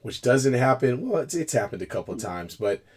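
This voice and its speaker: A man talks through a casual sports chat, his voice raspy after a cold. He rambles loosely, laughs between lines and restarts his sentences. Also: mid-range voice, very animated, brisk, slight background noise. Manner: chatty, laughs often, trips over words. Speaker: co-host. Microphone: video call.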